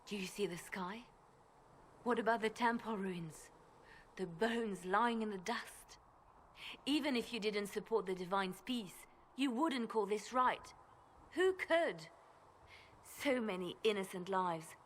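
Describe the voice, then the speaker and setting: A devout woman in a crisis of faith, bitter and intense, full of pointed questions. Her voice is mid-pitched, over faint ambient noise, in a dramatic fantasy game style. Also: expressive, slow pace. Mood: bitter, anguished.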